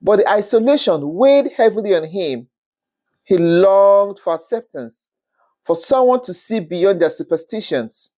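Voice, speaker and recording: A storyteller narrating a scripted folktale in an expressive, dramatic manner, with the occasional stumble over words. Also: medium pitch, measured pace.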